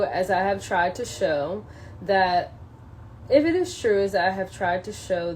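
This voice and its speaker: A woman on a casual solo livestream, halting and thinking aloud as she chats and reads from a book. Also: on the low side, a little mellow, rough recording. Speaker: social media live streamer. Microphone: phone propped up in a window. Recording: clear background noise, ambient sound.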